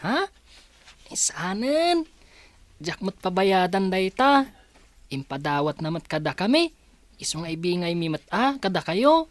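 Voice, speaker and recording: A woman's voice: on the low side, very animated, a little slow, pauses often, no echo. A woman playing a playful, carefree village boy in a radio drama, innocent and dawdling, with an Ilocano accent. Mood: chatty. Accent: Ilocano Filipino. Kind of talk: Filipino radio drama acting.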